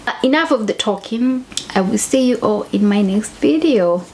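A woman's voice: on the high side, expressive, moderate pace, warm tone. A young woman from Zambia, talking to camera in a casual vlog, chatty and often correcting herself mid-sentence. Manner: chatty, self-correcting, conversational. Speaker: YouTuber. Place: home room with bookshelves. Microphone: camera at desk distance.

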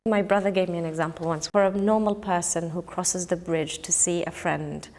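A woman's voice: lowish voice, fairly even intonation, normal speed, a little mellow. A woman in her thirties, a poet from Kurdistan whose first language is Kurdish, speaking thoughtfully in a documentary interview on a lapel mic. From Kurdistan, northern Iraq. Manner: thoughtful, searching for words, gestures with hands. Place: indoors, in front of a purple wall.

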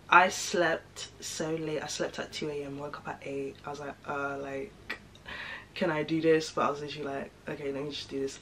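Casual young woman vlogger, deep-set low voice, rambling fillers, faint room noise. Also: a bit bright. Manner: chatty, rambling, lots of fillers. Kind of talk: casual vlog chat to camera.

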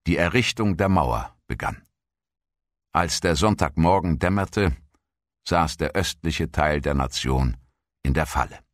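A man reading scripted historical narration as a documentary narrator, in a measured, formal manner. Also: rumbling low, expressive. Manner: measured, formal read-out delivery.

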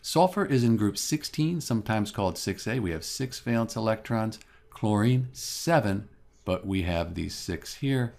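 A lower-voiced man walks through a chemistry problem one step at a time. His pitch moves a lot as he explains, but his delivery stays calm and methodical, like a teacher narrating over a drawing. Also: a little slow, gravelly. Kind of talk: scripted lesson voice-over.

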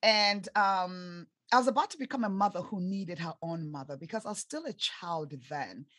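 Woman, 40s, East African accent, lowish voice, earnest and emphatic, dry close recording. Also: normal speed, fairly bright tone, dry recording. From Tanzania and Zambia. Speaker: podcast guest, life coach. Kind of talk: interview storytelling.

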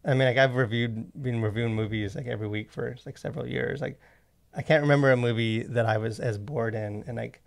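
A man's voice: medium pitch, steady tone, fairly quick, vocal fry. A man hosting a casual podcast, rambling with many asides into a close studio mic. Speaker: podcast host and movie reviewer. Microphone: close boom-arm studio mic.

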